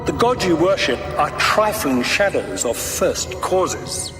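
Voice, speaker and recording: A zealous cult leader from a fantasy game, grandiose and pompous. He preaches dark prophecy slowly, in a fairly high male voice. Long reverb surrounds every measured phrase. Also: sing-song. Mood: preaching, scornful. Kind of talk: theatrical fantasy game monologue. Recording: echoey hall reverb, music behind.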